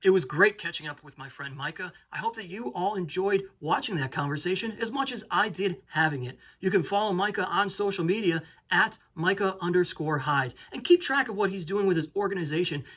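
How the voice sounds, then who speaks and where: A man's voice: high-pitched, lively intonation, a little fast, hardly any pauses, a bit bright, husky. A man hosting a talk show, interviewing casually and presenting to his viewers.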